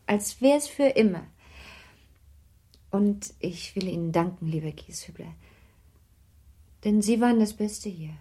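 An actress playing a melancholy, gentle young married lady of the nineteenth century in a literary radio drama, speaking in a wistful, genteel way. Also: medium pitch, animated, unhurried, pauses often, breathy edge. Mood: wistful farewell. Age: young adult. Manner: wistful, genteel, softly emphatic.

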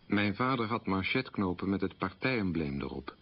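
Low, husky man looking back on his wartime childhood, measured radio monologue. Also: sing-song, muffled recording, exaggerated voice. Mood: reflective. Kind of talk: radio documentary-drama monologue, reminiscing.

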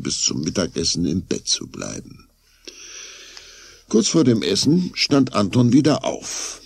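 A man's voice: low voice, gravelly, breathy edge, dry recording, studio quality. A man narrating a scripted children's story as the narrator of a radio play.

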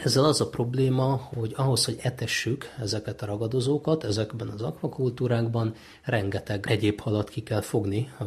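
A man's voice: fairly low pitch, husky. A man giving explanatory answers as an interviewed expert.

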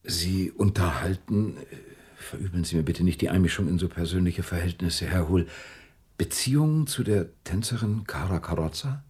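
An actor playing a courteous, probing, driven state prosecutor in a German radio drama, with formal, careful phrasing. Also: male voice, sits low, moderate pace, darkish tone, slightly rough. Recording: studio radio play with music behind.

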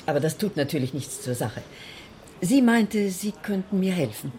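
Actress as an anxious, hesitant woman, lowish voice. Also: lively intonation, warm tone, lightly acted. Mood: hesitant, polite. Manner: hesitant, halting, insistent. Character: lonely, anxious, defensive woman fearing she is threatened. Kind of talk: German radio crime drama acting.

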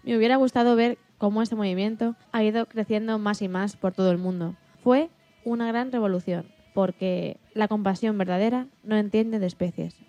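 A woman's voice: medium pitch, steady tone, normal speed, dark tone, muffled recording, soft background music, natural voice. A woman playing an animal liberation activist and mother who tells her story earnestly in an acted radio interview, stumbling slightly now and then. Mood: solemn, hopeful.

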